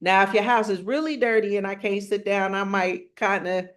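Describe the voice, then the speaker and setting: A middle-aged woman speaking with a strong, forceful voice, teaching about spirituality and astrology in a passionate conversational way with many rhetorical questions. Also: medium pitch, fairly even intonation, normal speed. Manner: rhetorical questions and repeated phrases.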